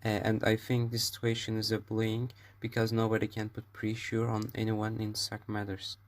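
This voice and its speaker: A young male student with a Turkish accent presents a prepared opinion. His voice sits fairly low and barely moves in pitch. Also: completely flat delivery, moderate pace. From Turkey. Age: teens.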